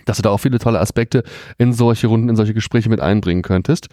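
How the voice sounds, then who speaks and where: A man chats through a relaxed interview at a convention, words tumbling out fast. His voice sits low and mellow. The sound is close and dry. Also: no echo. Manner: quick, chatty, frequent fillers. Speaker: podcast host interviewer. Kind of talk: casual interview. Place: comic convention.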